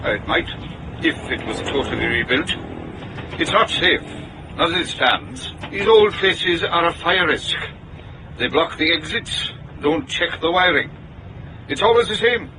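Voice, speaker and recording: Weary british fire officer, blunt, sharp highish voice, radio play. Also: male voice, very animated, measured pace, vocal fry, slight background noise, heavily acted, low-quality recording. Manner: blunt, matter-of-fact. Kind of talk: radio drama acting.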